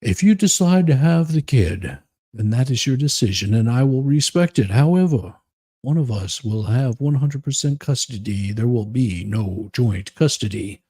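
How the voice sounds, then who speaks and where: A man playing a stiff, earnest, non-committal man in a dramatic reading, husky and low-toned, with a measured, solemn delivery. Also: animated, warm tone, no echo. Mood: firm, solemn.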